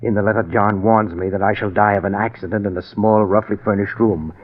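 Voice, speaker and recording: A man's voice: on the low side, fairly even intonation, brisk, close to an everyday voice. An actor playing a haunted man obsessed with cheating death, in an agitated, theatrical 1940s radio drama style. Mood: guarded, tense. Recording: muffled, band-limited old 1940s radio recording.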